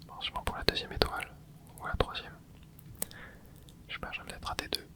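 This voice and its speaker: A gaming creator whispers an ASMR let's play. The voice is breathy and unvoiced throughout. The commentary is soft and casual, moving along in short remarks and little interjections. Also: fairly quick.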